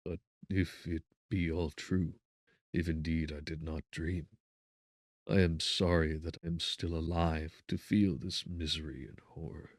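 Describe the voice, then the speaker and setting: Man acting wretched, deep-voiced despairing prisoner. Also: fairly even intonation, a little slow, no room sound, studio quality, heavily acted. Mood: feeble misery. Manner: feeble, weary, melancholy. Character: wretched, feverish young man accused of murder, despairing.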